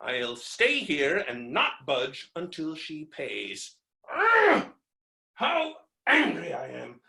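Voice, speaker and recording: Sharp, blustering, hot-tempered creditor. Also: male voice, a little high, huge pitch swings, takes it slowly, gravelly, full character voice. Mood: raging. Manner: ranting, exclamatory, exasperated, melodramatic. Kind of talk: theatrical staged reading of a stage comedy. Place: indoor room, recorded remotely. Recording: roomy, echoing virtual staged-reading recording.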